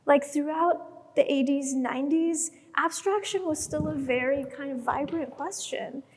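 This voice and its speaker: High-voiced Iranian-born woman in an echoing gallery. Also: unhurried, slight background noise. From Iran. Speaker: panelist. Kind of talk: panel discussion. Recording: long gallery reverb.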